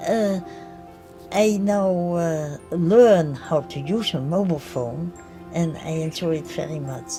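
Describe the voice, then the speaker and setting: An older woman speaking haltingly about her own daily life. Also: low-pitched, mellow. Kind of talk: personal testimony. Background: faint music.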